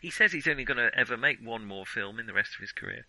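A man's voice: medium pitch, animated, fast talker, vocal fry, a crowd in the background, low-quality recording. A man co-hosting a podcast, chatting casually about films in a hesitant, circling way. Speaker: podcast co-host. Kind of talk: casual film discussion.

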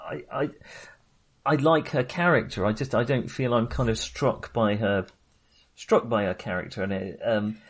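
A man co-hosting a casual film discussion, speaking in a halting, self-correcting way. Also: mid-range voice. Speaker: podcast co-host.

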